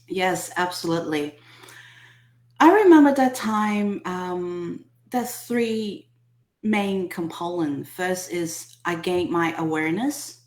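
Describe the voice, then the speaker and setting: A woman, a Cantonese speaker, telling her life story as an interview guest in a halting, soft-spoken way with many pauses. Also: lowish voice, animated, roomy sound. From Hong Kong. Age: 30s. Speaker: guest, healing mentor.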